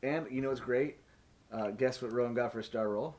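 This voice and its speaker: A man's voice: medium pitch, a little fast, a little mellow. A man hosting a tabletop game stream as game master, talking casually and jokily with plenty of swearing. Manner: profane, joking, laughs often.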